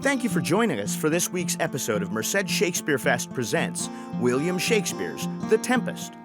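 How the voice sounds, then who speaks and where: Animated male announcer over loud guitar. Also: a little high, moderate pace. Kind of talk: scripted credits announcement. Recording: loud guitar music behind. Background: plucked guitar music.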